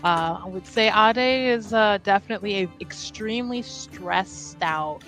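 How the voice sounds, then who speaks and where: A woman introduces her game character in casual, unscripted talk. She takes her time, in a voice of average pitch. Soft music runs underneath. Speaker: tabletop roleplaying player.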